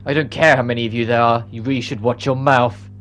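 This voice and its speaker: A man's voice: medium pitch, fairly even intonation, fast talker, fairly bright tone, soft background music, lightly acted. A man voicing a haughty, sly female knight commander in a game cutscene, with a condescending, taunting manner. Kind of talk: reading game cutscene dialogue.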